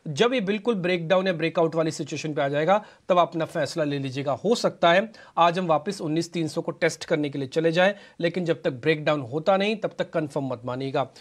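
A man with a slightly high voice walks viewers through chart levels on live TV. He speaks in an instructive way, telling the audience what to watch. He is on a lapel mic in a studio. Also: a little fast, hardly any pauses. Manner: instructive, addresses viewers directly. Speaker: market analyst. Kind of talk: technical chart analysis on live TV. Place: TV news studio.

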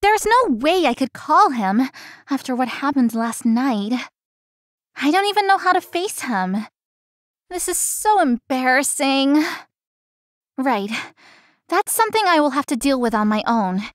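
High-pitched young woman, anxious florist, chatty, expressive, visual novel game, dry studio sound. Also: unhurried, pauses often, no room sound. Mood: flustered. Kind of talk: visual novel voice acting, inner monologue.

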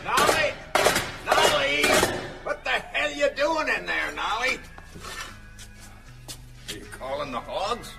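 A weary, dry American small-town lawman for radio drama. The voice is gravelly and unhurried, swinging widely in pitch. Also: expressive, heavily acted. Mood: irritated shouting. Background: loud music.

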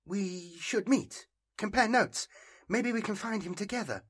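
A man voicing a nervous woman in an audiobook, brisk and brittle with guarded confidence. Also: mid-range voice, husky, voice pushed up. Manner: brisk, clipped.

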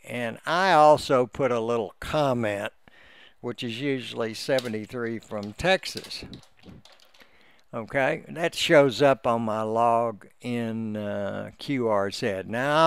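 A man talks through an on-screen tutorial at a slow, unhurried pace. His voice has a gravelly creak but stays very clear and resonant. He explains step by step without a script, halting as he goes. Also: medium pitch, a bit bright, dry recording, studio quality. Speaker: amateur radio hobbyist giving a tutorial. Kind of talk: unscripted screen-share walkthrough. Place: indoors, close dry voice-over for a screen recording.

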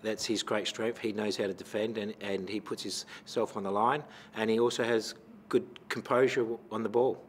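A middle-aged man with an Australian accent, a football coach answering questions at a post-match press conference into a table microphone, measured and full of fillers. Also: lowish voice, steady tone, slight background noise. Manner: measured, many fillers and restarts. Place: press conference room with sponsor backdrop.

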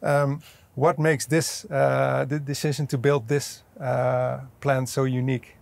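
A man's voice: medium pitch, level tone, a little slow, gravelly, darkish tone, no room sound. A man in his thirties interviewing guests outdoors, friendly and questioning, on a lapel mic. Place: outdoors at an industrial port site.

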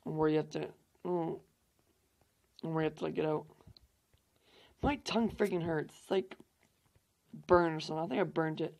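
A young man hosting a gaming channel, hoarse from losing his voice, rambling through casual gameplay commentary with fillers and swearing. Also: on the high side, moderate pace, long pauses, a little mellow.

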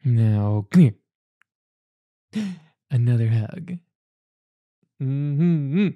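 A woman doing an ASMR roleplay as a mother comforting her child, speaking in a soft, cooing, soothing way close to the mic. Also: extremely low pitch, expressive, extremely slow, no echo, narrow, tinny audio. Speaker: roleplay performer.

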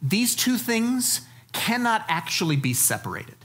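A man's voice: sits high, sing-song, measured pace, fairly bright tone. Male preacher, American, 40s. Teaches plainly and conversationally, leaning on key phrases with folksy emphasis. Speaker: pastor. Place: church stage, indoors.

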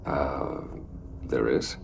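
Very deep, gravelly brooding man. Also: level tone, very slow, a little hiss or hum, full character voice. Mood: warm, surprised. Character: brooding adult billionaire businessman, secretly a vigilante detective. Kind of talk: cinematic video game dialogue.

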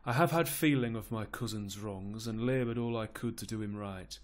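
A man's voice: lowish voice, moderate pace, natural voice. Man acting a stern, weary old duke. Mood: stern rebuke. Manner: declamatory verse, stern then resigned. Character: weary, principled old duke, rebuking yet powerless uncle.

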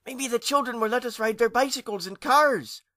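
A man voicing an excited young boy ghost, speaking high and eagerly. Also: moderate pace, no room sound, clean studio sound. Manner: excited, coaxing.

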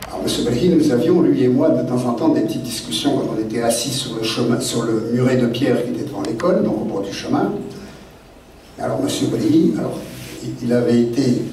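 An older man, a guest author seated at a desk microphone, telling memories in a halting, digressive way full of fillers. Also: mid-range voice, expressive, warm tone, a little hiss or hum. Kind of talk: rambling spoken memoir talk. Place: literary café meeting room. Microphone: desk mic on stand, seated. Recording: very echoey room, mic at a distance.

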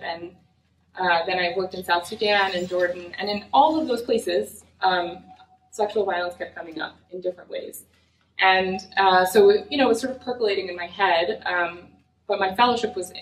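A woman's voice: lowish voice, slight background noise. A young adult woman giving a conversational, earnest lecture as a physician, speaking with many fillers. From United States. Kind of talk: academic lecture. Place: lecture room. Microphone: far room mic. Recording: echoey lecture room from far off.

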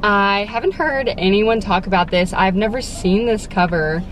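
A woman's voice: mid-range voice, normal speed, slight background noise, low-quality recording. A young woman from Texas, talking chattily to her phone camera from a parked car, with lots of "like"s. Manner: chatty, bubbly, many "like"s. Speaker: book vlogger. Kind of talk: casual vlog chat to camera. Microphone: phone camera at arm's length. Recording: soft background music under voice.

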